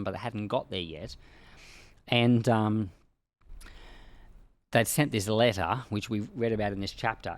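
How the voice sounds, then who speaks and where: A man's voice: mid-range voice, no room sound. Man giving Bible teaching, dry close sound. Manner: explanatory, conversational, plain-spoken. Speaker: pastor and Bible podcast host. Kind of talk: scripture reading followed by informal devotional commentary.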